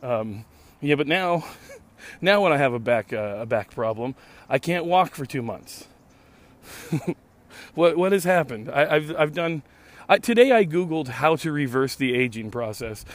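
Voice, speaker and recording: A hoarse man in his late thirties, losing his voice, rambling sardonically and swearing freely in a casual solo podcast monologue recorded outdoors in a park. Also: a little high, expressive, a little slow. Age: late 30s. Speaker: podcast host.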